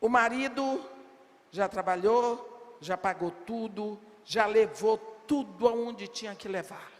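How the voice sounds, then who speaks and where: A woman's voice: mid-range voice, slow pace. Older woman preaching in a reverberant hall. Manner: emphatic, rhetorical questions, bursts of shouting. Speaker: preacher at women's congress. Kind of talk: sermon. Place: large church hall. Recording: long reverb of a big hall.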